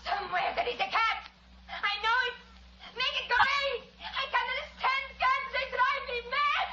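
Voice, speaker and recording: A woman's voice: very high-pitched, normal speed, pushed and bright, lo-fi sound, a little hiss or hum, full character voice. A woman acting an imperious, distressed opera diva with an Italian accent and broken English, melodramatic in old-time radio style. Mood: panicked.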